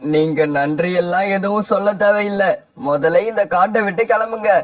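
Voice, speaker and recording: A man's voice: sits high, moderate pace, natural voice. A man voicing a righteous, bold male bird in a children's cartoon story. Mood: stern.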